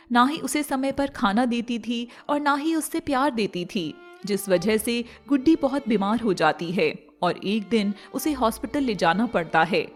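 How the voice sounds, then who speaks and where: A woman narrator reading a scripted moral story. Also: a little high. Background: faint music.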